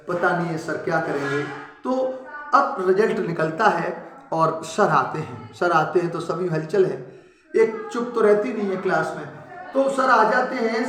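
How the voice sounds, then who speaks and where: High-voiced man teaching a storytelling lesson. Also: reverberant. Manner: animated storytelling with frequent restarts and sudden emphatic rises. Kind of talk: Hindi lecture retelling a story for students.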